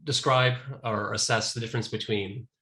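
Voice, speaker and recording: A man's voice: fairly low pitch, steady tone, normal speed, bright, forceful tone. A man moderating a webinar, thanking one panelist and politely asking the next a question.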